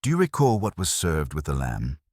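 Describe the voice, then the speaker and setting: A man plays an imperious detective in an audiobook story. He is terse and pompous, measured and full of disdain. The voice is very deep and husky. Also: huge pitch swings, darkish tone, no room sound, clean studio sound, heavily acted. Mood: probing. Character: imperious, brilliant male detective, unhurried and disdainful.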